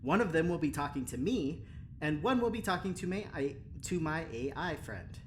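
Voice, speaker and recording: A woman playing an eager, boastful male engineer in an audio drama, talking in an animated, hesitant ramble. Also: low-pitched, moderate pace, slight background noise. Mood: casual, upbeat.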